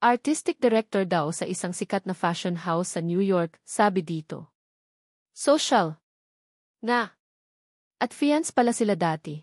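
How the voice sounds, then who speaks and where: Nosy office woman as a female storyteller acts her, brisk, blunt, Filipino accent, medium pitch, storybook narration. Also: lively intonation, a little mellow, dry recording, clean studio sound. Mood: impressed, curious.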